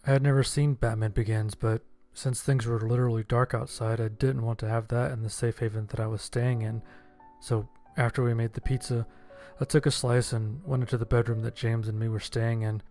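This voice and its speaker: A man's voice: medium pitch, little pitch movement, brisk, darkish tone. Male horror story narrator over faint music. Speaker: audiobook narrator. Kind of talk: scripted first-person horror story narration.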